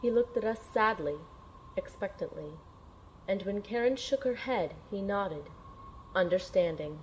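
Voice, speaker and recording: A woman's voice: medium pitch, lively intonation, unhurried, close to an everyday voice, poor audio. A woman playing an earnest young female agent in an audio drama, dramatically telling her own adventure. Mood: somber narration. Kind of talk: dramatic first-person narration and audio drama acting. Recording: clear background noise.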